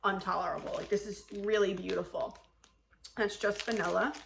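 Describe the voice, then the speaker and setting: A woman's voice: medium pitch, a bit bright. Chatty woman vlogger in an echoey room. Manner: chatty, describing scents at length with many likes. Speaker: haul vlogger reviewing scented wax. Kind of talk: casual chat.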